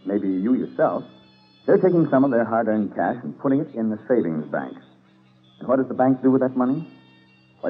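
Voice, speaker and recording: Male American announcer, vintage 1940s radio pitch, lively intonation, slightly husky, tinny band-limited recording. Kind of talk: 1940s scripted radio commercial narration.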